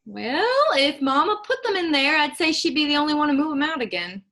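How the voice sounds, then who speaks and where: A woman's voice: sits high, very animated, a little fast, bright, forceful tone, exaggerated voice. A woman reading the part of a wry, practical rural wife in a staged play reading. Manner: wry, chatty, laughs easily.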